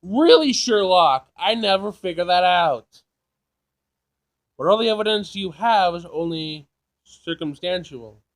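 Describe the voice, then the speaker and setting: A man's voice: high voice, lively intonation, unhurried. A man doing a casual let's play, reading game text aloud and reacting in an informal, sassy way. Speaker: gaming video player.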